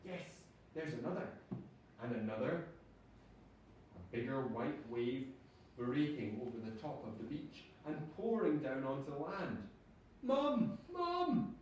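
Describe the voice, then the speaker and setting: Male teacher, dramatic storybook read for children, lively pitch swings, heavy room echo with faint noise. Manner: dramatic, drawn-out storytelling delivery. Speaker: primary school teacher reading aloud. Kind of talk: expressive storybook reading to children. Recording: echoey room, distant mic, background noise.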